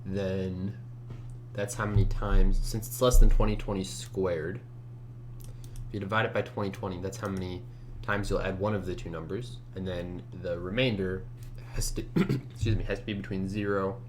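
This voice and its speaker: A man with a low, just-woke-up voice talks through his code in a casual way. He mutters to himself and trails off mid-thought, with a clear hum and keyboard typing behind him. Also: steady tone, moderate pace. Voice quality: low morning voice. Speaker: competitive programmer narrating a screencast. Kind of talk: casual think-aloud commentary while coding. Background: computer keyboard typing, hum.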